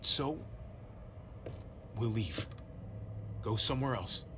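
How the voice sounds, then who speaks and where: An actor playing a steady, protective man in an apocalypse video game drama, plain-spoken and reassuring. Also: mid-range voice, slow, slight background noise. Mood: serious. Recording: music behind the voice.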